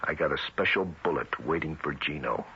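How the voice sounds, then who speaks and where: An actor playing a streetwise, grimly vengeful federal agent in 1970s radio drama, with tough, clipped, colloquial delivery. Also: male voice, extremely low pitch, lively intonation, brisk, gravelly, exaggerated voice. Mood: grim, wounded. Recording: muffled vintage radio recording, faint hiss.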